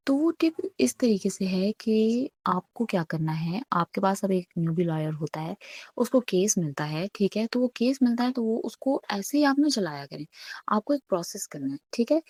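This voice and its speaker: A woman gives an explanatory lecture in a medium-pitched voice. She is didactic, often asking a question, answering it and checking that learners follow. The recording is dry, with hardly any room sound. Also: a little mellow, no room sound. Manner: didactic, poses questions then answers them, frequent check-ins; mixes in English legal terms. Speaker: law teacher.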